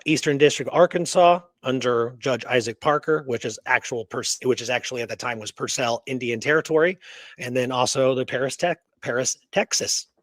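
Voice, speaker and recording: A man's voice: mid-range voice, no echo. Male history host, informal and off the cuff, loose rambling delivery, very little room sound. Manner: informal, rambling, checks in with "right?". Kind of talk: casual unscripted history discussion.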